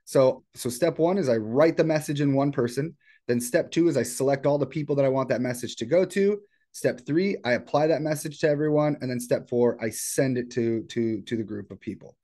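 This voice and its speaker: A man presenting an informal step-by-step software tutorial in a casual, explanatory way. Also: mid-range voice, brisk. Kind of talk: casual screen-share tutorial. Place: indoors at a computer.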